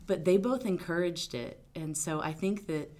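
A woman attorney from Arkansas, answering interview questions in a loud, outgoing, talkative way. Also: fairly low pitch, normal speed. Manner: loud, big personality, talkative. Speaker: attorney guest.

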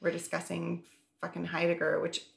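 A woman's voice: fairly low pitch, monotone, normal speed, creaky. A woman co-hosting a podcast, talking candidly and loosely, with lots of "like" fillers and casual swearing. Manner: candid, rambling, heavy on "like" and swearing. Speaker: podcast co-host.

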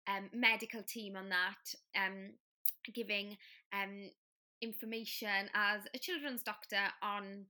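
Welsh woman, young adult, bright tone, chatty, lots of ums. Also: medium pitch, steady tone, takes it slowly. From Wales. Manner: friendly, chatty storyteller with many fillers. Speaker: guest, paediatric trainee doctor. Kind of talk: casual interview chat.

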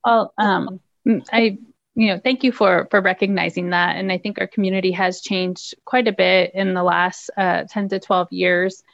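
Gravelly woman, agency staffer, hesitant and full of fillers. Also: lowish voice, steady tone, moderate pace, dry recording, lo-fi sound. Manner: hesitant, many ums and restarts. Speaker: refugee resettlement staff member. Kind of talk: news interview.

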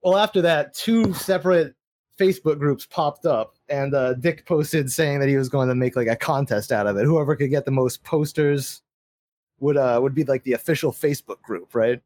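A man's voice: mid-range voice, moderate pace, darkish tone, no echo. A man in a casual podcast chat, rambling and swearing as he defends his grievances. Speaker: guest.

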